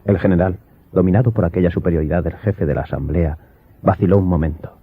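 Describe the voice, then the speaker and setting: A man's voice: low-pitched, quick pace, breathy edge, no room sound. A man reading a scripted audiobook narration as the storyteller. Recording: dull lo-fi sound, faint music behind.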